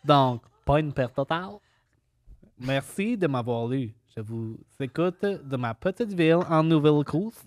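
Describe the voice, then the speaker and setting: A man's voice: on the high side, lively intonation, a little slow, darkish tone, a little hiss or hum. A man, reading a listener's letter aloud in a casual podcast chat, with playful mock drama.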